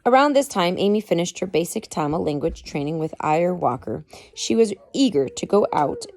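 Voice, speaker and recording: Expressive, creaky-voiced woman reading aloud. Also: fairly low pitch, normal speed. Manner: expressive, with dramatic emphasis and explanatory asides. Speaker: parent reading aloud to children. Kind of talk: read-aloud of a biography with informal asides.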